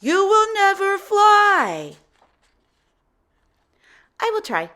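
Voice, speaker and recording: A woman's voice: very high-pitched, wildly swooping pitch, extremely slow, sharp tone, pitched above the performer's own voice, full character voice. A woman voicing an eager, determined young female pig in a children's picture book, speaking in short, simple exclamations.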